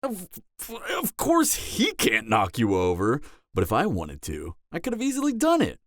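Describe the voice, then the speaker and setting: A man's voice: medium pitch, wildly swooping pitch, moderate pace, no room sound, studio quality. A man playing a cocky, flirtatious vampire mentor in an audio roleplay, lecturing in a teasing, sardonic way. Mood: stern, instructive. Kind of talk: dramatic audio roleplay acting.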